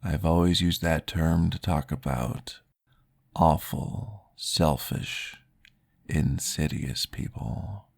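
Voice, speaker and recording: A man voicing a courteous male vampire guiding a therapy session in a formal, polite, soft-spoken ASMR roleplay, recorded close to the mic. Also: rumbling low, slow pace, gravelly, no room sound, heavily acted. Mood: calm, courteous.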